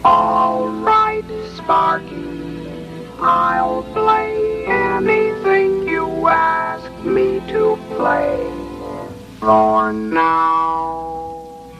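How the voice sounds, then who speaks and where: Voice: extremely high pitch, drawn-out words, full character voice, poor audio. A performer playing an obliging but ominous magic piano in a children's story record, chanting in a voice blended with piano notes.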